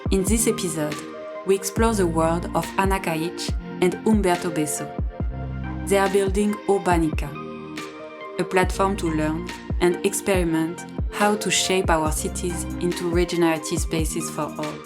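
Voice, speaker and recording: A woman's voice: fairly low pitch, fairly even intonation, moderate pace, music in the background. A woman hosting an interview podcast, speaking as a presenter introducing and questioning guests. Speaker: podcast host.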